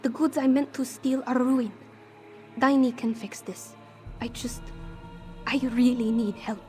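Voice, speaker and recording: A woman acts out a scrappy female cat-folk thief from a fantasy game, her voice on the high side. She is anxious and defensive, with stiff, formal phrasing hardened by life on the street. Music plays behind her. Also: fairly even intonation, a little slow, dark tone, natural voice. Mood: defensive, pleading. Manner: refers to herself in third person, formal contraction-free phrasing. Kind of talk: fantasy game character dialogue.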